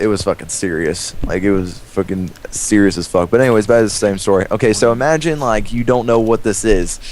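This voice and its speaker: A young American man telling a profane, rambling story casually to friends, animated when he acts out quotes. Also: on the low side. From United States. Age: young adult. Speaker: storyteller among friends playing a game. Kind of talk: casual profane storytelling over game voice chat. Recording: noisy game voice chat recording.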